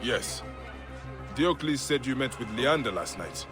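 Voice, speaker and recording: An actor playing a calm, dry, righteous Egyptian warrior in a video game. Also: male voice, mid-range voice, unhurried, close to an everyday voice. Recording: loud music behind voice.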